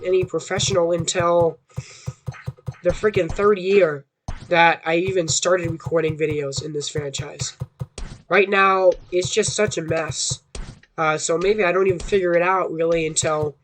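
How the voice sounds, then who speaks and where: Young male gamer, hoarse and high-voiced, rambling and self-doubting; light background noise. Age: young adult. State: hoarse from a sore throat. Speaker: gaming YouTuber. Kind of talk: casual rambling commentary.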